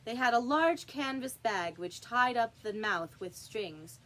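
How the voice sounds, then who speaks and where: A woman's voice: a little high, animated, unhurried, fairly bright tone. A woman reading a classic story aloud as scripted audiobook narration. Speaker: audiobook reader.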